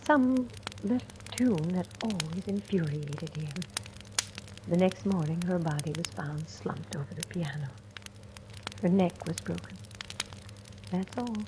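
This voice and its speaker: Casting: female actor as mature household cook, once a teacher, measured and quietly knowing, lowish voice, noisy vintage radio recording. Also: lightly acted. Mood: grave. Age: middle-aged or older. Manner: measured, gentle, grave. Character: kindly older woman cook, former schoolteacher, quietly knowing. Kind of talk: 1940s radio drama acting.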